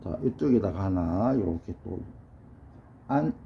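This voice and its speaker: A hoarse, middle-aged man with a cold gives a measured ink-painting lesson while he paints. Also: sits low, animated. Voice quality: gravelly. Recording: dull lo-fi sound with faint noise.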